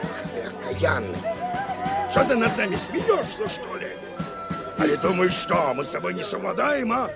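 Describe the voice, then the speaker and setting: A man playing a rough Cossack captain in a radio drama, gravelly and folksy, pleading and hollering in exasperation. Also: high-pitched, wildly swooping pitch, moderate pace, exaggerated voice. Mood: scolding. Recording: crowd and music behind the voice.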